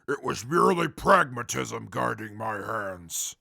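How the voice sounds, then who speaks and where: Sardonic wasteland survivor, male actor, audio drama: extremely gravelly and husky, slow, curt, callous, short fuse. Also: medium pitch, expressive, bright, forceful tone, no room sound, clean studio sound, full character voice. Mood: dry, sardonic. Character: gruff, cold, sardonic, short-tempered male wasteland traveller. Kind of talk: post-apocalyptic audio drama acting.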